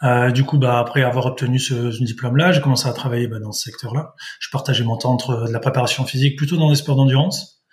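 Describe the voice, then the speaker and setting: A man in his thirties from the south of France answers interview questions, often pausing and restarting his sentences. His voice sits at an ordinary middle pitch, and he speaks like a guest explaining his work. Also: steady tone. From southern France, Vaucluse. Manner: hesitant, many fillers and restarts. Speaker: guest, sports dietitian-nutritionist.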